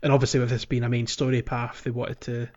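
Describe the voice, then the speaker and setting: Guy on a gaming podcast, chatty and a bit halting, kinda dull lo-fi audio. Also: medium pitch, flat delivery, a little mellow. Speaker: podcast co-host. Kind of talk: casual video game discussion.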